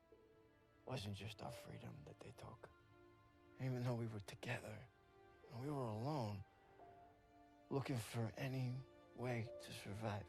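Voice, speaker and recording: An actor playing a weary, loyal young American soldier freed from captivity, talking sincerely and haltingly in a cinematic war game. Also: male voice, on the low side, gravelly, heavily acted. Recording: cinematic music behind the voice.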